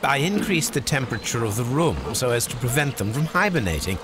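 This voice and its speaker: A male actor plays a brilliant Victorian detective for a video game, English-accented and self-assured. His mid-pitched voice swings expressively, with precise, formal phrasing and a dry, teasing wit. Faint ambient noise sits behind him. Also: very animated, fairly quick, lightly acted. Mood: cheerfully eccentric.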